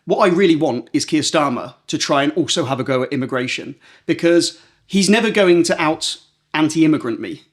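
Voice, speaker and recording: A man's voice: fairly high pitch, lively intonation, a little fast. A man co-hosting a podcast in casual political chat, telling stories and acting out imagined quotes. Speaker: podcast co-host.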